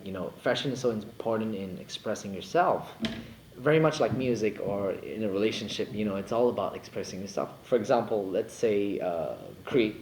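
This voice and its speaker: A man's voice: fairly low pitch, darkish tone, slight background noise. A young man from Singapore, a singer chatting casually in an interview, playful and jokey, rambling with many fillers. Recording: roomy echo, faint ambience.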